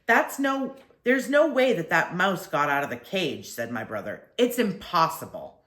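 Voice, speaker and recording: A woman's voice: lowish voice, big pitch swings, unhurried, fairly bright tone, roomy sound. An older woman voicing a scornful, know-it-all boy from a storybook, dismissive and sure of himself.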